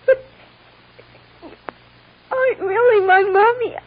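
A small, bewildered American girl speaks in a high, childlike voice on a muffled, faintly noisy old radio recording. She is plaintive and halting, stumbling over words as she seeks her mother's love. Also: fairly even intonation. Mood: hurt, tearful. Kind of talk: 1940s-50s American radio drama acting.

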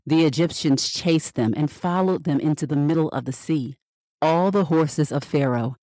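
A woman reading scripture as scripted narration for a Bible podcast, measured and expressive with the dialogue. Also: deep voice, no room sound.

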